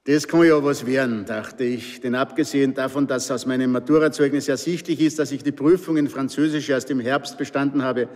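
An older man from Austria with an Austrian accent, calmly reading his own memoir aloud in a dry storytelling manner. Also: medium pitch, a little fast, few pauses. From Pinzgau, Austria. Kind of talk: scripted narration. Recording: long reverb.

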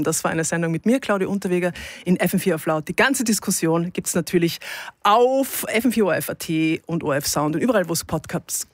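A woman's voice: fairly low pitch, dry recording. A woman hosting an informal live radio talk, searching for words as she goes. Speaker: radio host.

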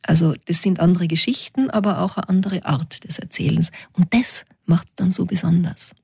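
A woman with a Tyrolean Austrian accent, a storyteller answering interview questions in a lively, reflective way. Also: fairly low pitch, moderate pace, gravelly, breathy edge, dry recording, studio quality. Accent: Tyrolean Austrian German.

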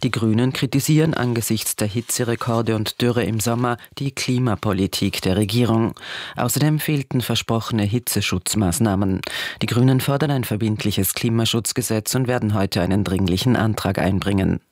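A man's voice: on the low side, normal speed, darkish tone, dry recording. Austrian male news reader, dry studio sound. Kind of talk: scripted news bulletin.

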